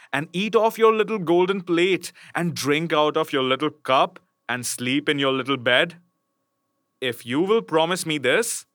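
A persistent talking frog from a read-aloud fairy tale, as a male narrator plays him. He bargains in a coaxing, polite way with a bright, forward tone. The sound is close and dry. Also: mid-range voice, no room sound.

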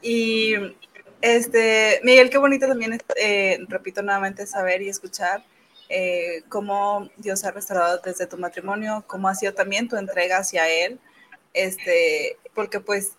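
A woman hosts a casual chat, affirming her guest with emotion. Her voice is bright and forceful. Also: mid-range voice, steady tone, normal speed, slight background noise, poor audio. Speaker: host.

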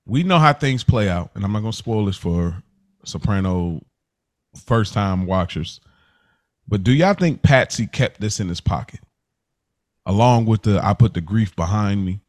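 A man's voice: on the low side, animated, normal speed. An American man hosting a podcast, talking informally through a TV recap and putting questions to his co-hosts. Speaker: podcast host. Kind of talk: casual TV recap discussion.

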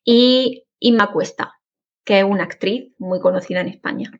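A woman with an Andalusian accent, a guest in a casual interview, speaking in a friendly, informative and steady way. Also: medium pitch, normal speed. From Jaén, Andalusia, Spain. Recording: dull lo-fi audio.

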